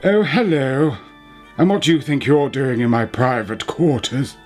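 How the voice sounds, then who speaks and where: An actor playing a suavely menacing, fanatical bishop in a radio play, theatrical and condescending. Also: male voice, mid-range voice, a little slow, darkish tone, soft background music, lightly acted. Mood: coolly menacing.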